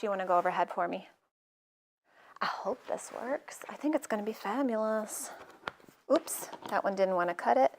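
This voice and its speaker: A middle-aged woman hosting a live sewing tutorial in her studio, chatting casually and joking at her own expense as she works. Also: a little high. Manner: chatty, self-deprecating, jokey asides.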